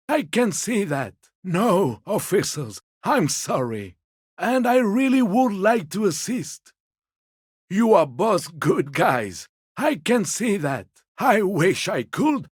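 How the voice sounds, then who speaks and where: Husky, fussy old gentleman. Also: high voice, no echo, clean studio sound, full character voice. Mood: apologetic, evasive. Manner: polite, wheedling, theatrically indignant. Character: genteel, fussy old man, mild, ingratiating, quietly petty. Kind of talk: video game character dialogue.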